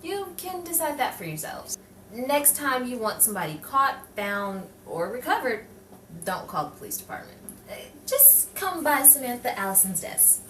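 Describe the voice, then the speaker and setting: High, lively noir newswoman played by an actress. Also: normal speed. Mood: dramatic, knowing. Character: sardonic woman newspaper reporter in a noir story. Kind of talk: old-time radio noir acting and narration. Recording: roomy distant stage recording, faint noise.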